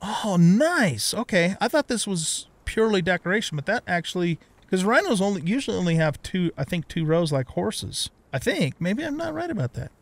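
A man's voice: sits high, very animated, normal speed, gravelly, hardly any pauses, no room sound. A middle-aged man giving casual let's play commentary on a video game, thinking aloud and muttering to himself.

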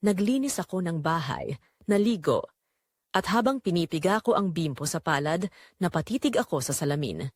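A woman's voice: fairly low pitch, very animated, moderate pace, dry recording, studio quality. A woman narrating a scripted first-person dramatic story.

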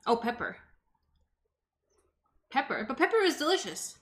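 Young woman streamer, bright slightly high voice, chatty, home kitchen, counter mic. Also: big pitch swings, unhurried. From Los Angeles. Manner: chatty, answers chat aloud while chopping food. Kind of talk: casual livestream chat while cooking. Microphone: desk microphone on the counter.

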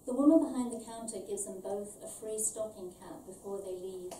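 A middle-aged woman from London, born in Ireland, reading her own short story aloud in a measured, deliberate way. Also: medium pitch, warm tone. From born in Ireland, raised in London. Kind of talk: scripted literary reading aloud. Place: small event venue with stage, indoors. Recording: roomy, distant, faint background noise.

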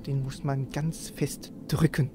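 A man with a creaky voice hosting a let's play, commenting on the game and reading quest text aloud. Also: mid-range voice, little pitch movement, slow. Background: game music.

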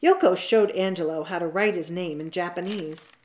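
A woman reading a children's picture book aloud at home, in an expressive, drawn-out storybook manner. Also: lowish voice. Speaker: storytime reader. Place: indoors at home, seated on a leather couch.

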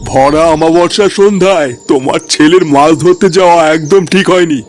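A man voicing a solemn, authoritative village priest in a comic cartoon, speaking gravely and with assurance. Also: fairly high pitch, fairly quick, close to an everyday voice. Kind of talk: comic cartoon dialogue in colloquial Bengali. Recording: music playing behind the voice.

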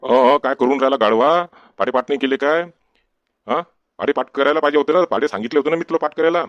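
A dry, close recording of a man playing a cartoon village schoolteacher. He is exasperated and scolding, questioning his class in a mid-pitched voice. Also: fast talker, dry recording, highs cut off, lightly acted. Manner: scolding, questioning, name-calling. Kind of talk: comic cartoon dialogue.

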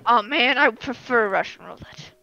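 A woman playing a bossy, excitable animatronic shadow bunny in an improvised game roleplay, pushy and dramatic. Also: a little high, moderate pace, vocal fry, highs cut off, faint music behind, full character voice. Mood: casual. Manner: pushy, loud, dramatic outbursts.

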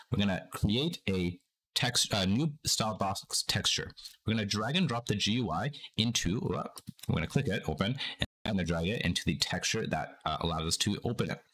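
A young man speaks in a low register that sounds a little groggy, as if he is slightly sick. He hosts a casual screen-recorded tutorial, talking through each step informally. He is seated at his computer with a headset. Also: a bit bright, a little hiss or hum. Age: young adult. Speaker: tutorial host.

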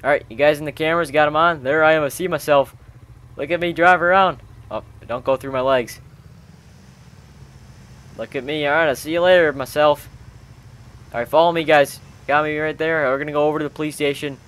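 A man's voice: on the high side, fairly even intonation, fairly quick, pauses often, a bit bright, smooth. A man hosting a gaming video, chatting in informal slang as he roleplays and comments on the game with friends. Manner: chatty, informal, peppered with bro-style slang.

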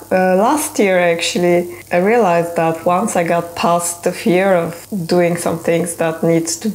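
A woman in her thirties talks to her camera in a small home studio, chatty and candid. Her voice sits a little low for a woman. The sound is close and plain, like a desk camera a short way off. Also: a little mellow, slight background noise, low-quality recording. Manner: chatty and candid, with light laughs. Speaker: illustrator vlogger. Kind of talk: casual studio vlog talk to camera.

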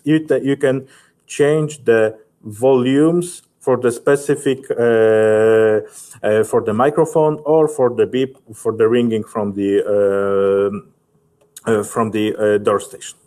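A man in his thirties with a Polish accent, giving a halting, methodical software tutorial as a technical specialist. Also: mid-range voice. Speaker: technical specialist presenting a webinar.

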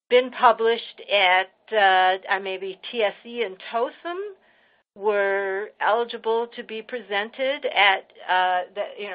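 A woman speaking informally as the moderator of a webinar. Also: medium pitch. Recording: dull, lo-fi webinar audio.

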